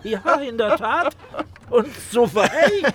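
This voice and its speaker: A man's voice: extremely high pitch, animated, slow, music in the background, heavily acted. An actor playing a nervous, deferential male writer in a German radio play, hesitant and stammering. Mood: eager, agreeable.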